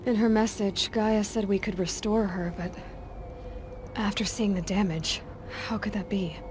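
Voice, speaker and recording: A woman's voice: medium pitch, fairly even intonation, unhurried, noisy background, close to an everyday voice. A young woman playing a determined, questioning adventurer in a video game, speaking in a plain, searching way. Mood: doubtful, searching. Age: young adult. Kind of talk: naturalistic video game dialogue.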